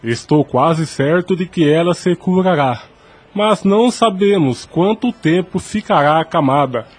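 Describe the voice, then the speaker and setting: Husky, solemn old priest. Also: male voice, fairly high pitch, animated. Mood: solemn, consoling. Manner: solemn, paternal, measured. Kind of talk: radio drama acting.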